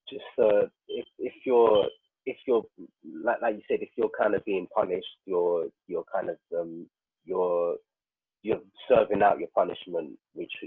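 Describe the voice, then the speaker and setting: A man with a deep voice gives a personal, halting reflection, circling back over his words. The sound is narrow like a phone call, with a roomy echo around him. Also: husky. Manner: halting, repetitive, searching for words. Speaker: guest, prison radio producer. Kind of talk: personal reflection in a panel conversation.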